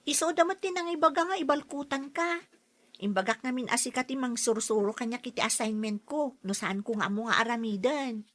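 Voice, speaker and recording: Woman as a sweet, bright-voiced schoolgirl. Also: a little high, fairly quick. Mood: friendly. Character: friendly, hospitable schoolgirl friend Carla. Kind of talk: audio drama acting.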